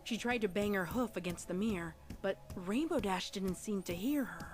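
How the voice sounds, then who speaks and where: A woman's voice: medium pitch, normal speed. Woman narrating a dramatic story over music. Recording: music behind the voice.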